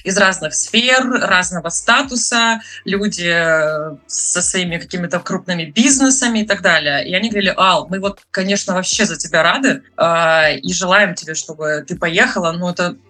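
A woman's voice: on the low side, moderate pace, bright, forceful tone. A woman in her thirties from Russia, a hairdresser telling her story in a chatty, casual interview with lots of fillers. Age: 30s. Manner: chatty storyteller, many fillers, laughs often. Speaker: guest, hairdresser living abroad.

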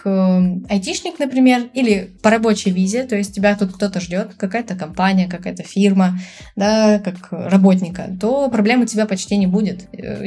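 A woman chats easily as a podcast guest, in a mid-range voice. She laughs often and fills her pauses with hesitation sounds. She brings the people in her stories to life by acting out their lines. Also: mid-range voice, mellow. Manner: chatty, laughs often, many filler sounds; acts out people's lines in quotes. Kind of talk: casual chat.

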